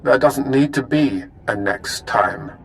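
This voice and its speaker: A suave, scheming enemy agent. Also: male voice, medium pitch, takes it slowly. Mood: calm. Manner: calm, smooth, formal.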